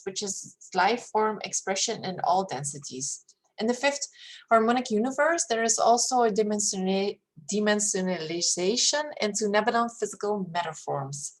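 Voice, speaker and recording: A woman from Belgium with a Flemish accent, whose first language is Flemish, reading a spiritual paper aloud in a careful, steady way. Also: medium pitch. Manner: careful, steady reading with hesitant fillers when speaking freely. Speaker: reader of a paper. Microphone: screen-share recording.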